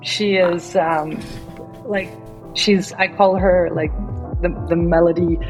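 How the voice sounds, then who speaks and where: A woman's voice: lowish voice, fairly even intonation, takes it slowly, darkish tone, soft background music, low-quality recording. A woman DJ and singer, raised abroad, chatting casually in an interview, often repeating words as she restarts her sentences. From Denmark, raised in Egypt. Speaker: guest DJ and singer.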